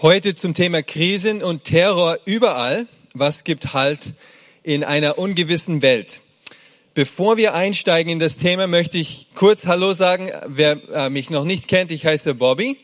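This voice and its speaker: Man from Germany, pastor, sermon, fairly high voice, hesitant, lots of fillers. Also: unhurried. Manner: warm, hesitant delivery with frequent fillers.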